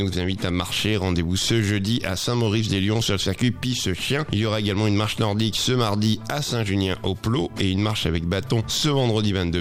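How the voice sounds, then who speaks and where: Low-voiced man reading a radio agenda. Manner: brisk, with hesitant fillers. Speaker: local radio presenter. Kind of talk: reading a local events agenda.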